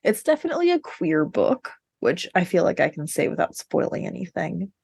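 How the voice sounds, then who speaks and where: A woman's voice: fairly low pitch, big pitch swings, a little fast, creaky, a little mellow, slightly rough, no room sound. A woman co-hosting a podcast in casual book chat, informal and wry, with the odd sweary aside. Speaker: podcast co-host.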